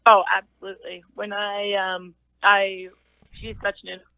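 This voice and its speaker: A young woman with a low voice, chatting in an interview as a singer-songwriter, thoughtful and halting with many restarts. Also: level tone, gravelly, no echo. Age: young adult. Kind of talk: casual interview chat. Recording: tinny phone line.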